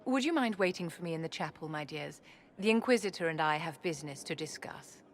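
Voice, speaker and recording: An actress playing a scheming aristocratic enchantress in a game, imperious and silkily condescending. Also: female voice, deep voice, expressive, a little fast, a little hiss or hum. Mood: gracious, poised. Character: haughty, scheming aristocratic enchantress courtier, poised. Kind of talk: dramatic game acting.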